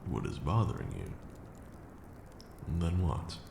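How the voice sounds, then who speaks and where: Ancient vampire master male actor: unhurried, courtly, gravel-throated ASMR delivery, stern but caring, in a roomy, noisy recording. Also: very animated, heavily acted. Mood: solemn, consoling. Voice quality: gravelly, very deep. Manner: formal, archaic, measured phrasing. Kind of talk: ASMR roleplay, slow intimate monologue. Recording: roomy echo with audible background noise.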